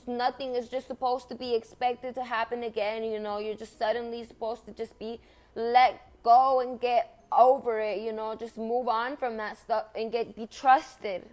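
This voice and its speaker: A young woman whose first language is Spanish, speaking in an impassioned personal monologue, earnest and repetitive with emphatic stresses. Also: a little high.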